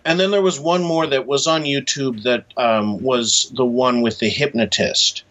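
A man speaking as an author being interviewed, in a halting, thoughtful, conversational way. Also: medium pitch, steady tone, fairly bright tone. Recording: dull, muffled lo-fi audio.

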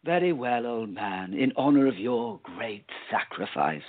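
A man playing a pompous, smug, condescending gentleman villain in a theatrical, mock-polite radio play style. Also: medium pitch, lively intonation, a little slow, no echo, narrow, tinny audio. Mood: smooth, condescending.

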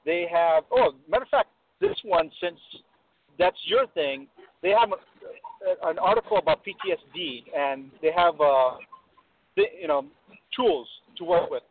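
A man's high voice comes through a dry, narrow phone line. His pitch swings about as he chats casually and jokily as a radio co-host. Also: big pitch swings, a little slow, dry recording. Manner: jokey, acts out quotes. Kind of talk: casual chat.

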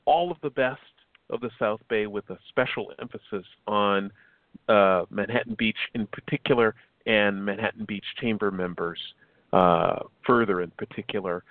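A man's voice: fairly low pitch, dry recording. A man hosting a community radio show, reading out the station break and the show schedule in an announcer-like way. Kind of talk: host's station break and chat. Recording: dry phone-like line.

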